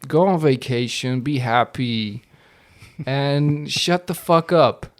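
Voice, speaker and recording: A comedian from the Netherlands hosts a loose podcast chat in an unhurried, drawn-out way. He rambles, swears freely and takes his time, and his voice sits at an ordinary middle pitch for a man. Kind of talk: casual podcast banter.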